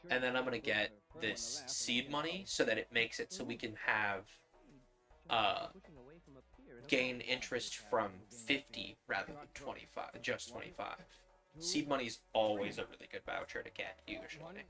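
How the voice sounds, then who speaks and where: A man's voice: mid-range voice, moderate pace. A man streaming a game, thinking aloud and explaining his choices in casual commentary. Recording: music and radio drama behind.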